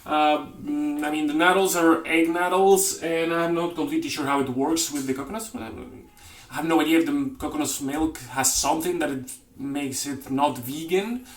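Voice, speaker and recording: A man's voice: fairly high pitch, moderate pace. Man, casual joking cooking host, rambly and self-correcting, echoey home kitchen. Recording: roomy kitchen with faint noise.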